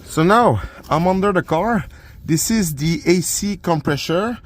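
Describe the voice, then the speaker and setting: A man whose first language is French, speaking English with a French accent as he walks through a car repair hands-on. He explains step by step from a garage and under the car, halting often with uh and repeated words while he films on his phone. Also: sits high, lively intonation. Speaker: DIY car repair vlogger. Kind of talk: casual hands-on repair walkthrough. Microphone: phone camera while working.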